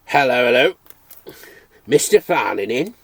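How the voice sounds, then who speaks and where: A man's voice: medium pitch, sing-song, a little slow, gravelly, no echo, exaggerated voice. A man doing a hearty farmer. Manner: broad rural dialect, hearty and loud. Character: plump, eager male farmer.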